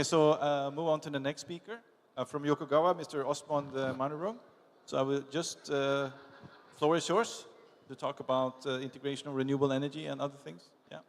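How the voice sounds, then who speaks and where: Distant man moderating in an echoing hall. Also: mid-range voice. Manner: loose, hesitant, many fillers. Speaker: session moderator. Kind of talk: casual moderating. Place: large exhibition hall.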